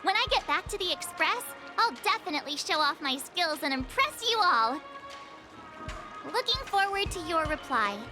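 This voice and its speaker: A woman voicing a bubbly, eager teenage girl sword apprentice in an anime game, chirpy, earnest and expressive. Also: extremely high pitch, normal speed. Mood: upbeat, proud. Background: music.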